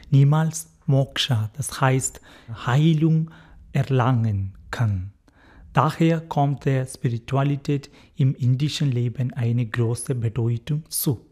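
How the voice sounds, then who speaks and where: Young indian guy talking slow and careful, lots of ums, soft dark voice. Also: medium pitch. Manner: careful, halting German with fillers, recently learned. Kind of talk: interview answers.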